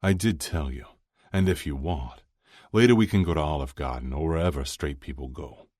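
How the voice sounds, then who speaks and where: Man as a wry, deep-voiced boyfriend. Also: moderate pace, darkish tone, dry recording, studio quality. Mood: teasing. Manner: drawling, teasing. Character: wry, teasing boyfriend of a sports star.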